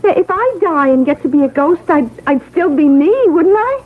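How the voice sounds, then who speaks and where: Very high-voiced naive young wife. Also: female voice, animated, moderate pace. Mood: curious, innocent. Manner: hesitant, guileless, rambling. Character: naive, sweet, slightly dim young wife. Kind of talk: radio mystery drama acting. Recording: tinny, band-limited old radio recording.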